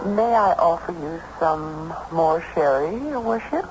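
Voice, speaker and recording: A woman's voice: lowish voice, a little slow, over music, lightly acted. An actress playing a homely, plain-spoken woman householder in a period radio drama, with a folksy, matter-of-fact way of talking. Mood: polite, hospitable. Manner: folksy, matter-of-fact, old-time turns of phrase. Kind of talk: 1970s American radio drama acting. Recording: noisy, muffled old radio broadcast recording.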